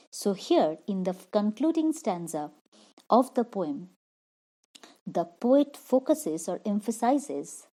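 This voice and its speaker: A woman's voice: mid-range voice, warm tone. A woman explaining a poem line by line as a literature teacher, repeating and rephrasing each point.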